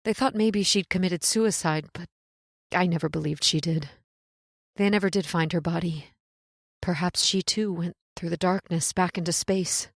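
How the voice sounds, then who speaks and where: A woman's voice: lowish voice, steady tone, no room sound, clean studio sound. Woman acting a grave, fatalistic radio horror heroine. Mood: weary, resigned. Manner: dramatic, grave, deliberate. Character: fatalistic, tearless woman lost in prehistoric time.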